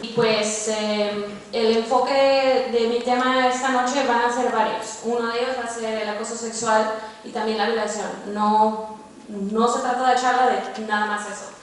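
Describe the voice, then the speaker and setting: A woman's voice: on the high side, fairly even intonation. Echoey, distant young Mexican woman speaking publicly. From born in Mexico, grew up in Los Angeles, United States. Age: 20s. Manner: halting, informal, hesitant phrasing with repeated tag questions. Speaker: guest speaker, activist and veteran. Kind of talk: personal testimony in a public talk. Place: large university lecture hall, indoors. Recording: echoey hall, distant mic, faint noise.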